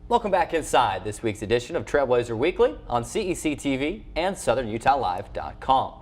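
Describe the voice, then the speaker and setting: A man's voice: mid-range voice, big pitch swings, fairly quick. An American man hosting a sports show from a studio, reading scores and scripted updates and interviewing guests.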